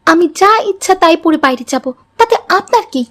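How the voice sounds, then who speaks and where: A woman's voice: very high voice, expressive, fairly quick, fairly bright tone. A woman voicing a headstrong young college girl in a moral cartoon story. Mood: defiant. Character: headstrong college girl Fatema, later remorseful.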